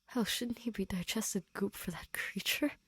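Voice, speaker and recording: A woman's voice: medium pitch, a little slow, a bit bright, husky, no room sound, studio quality. A woman narrating a scripted story expressively as a reader of fan fiction.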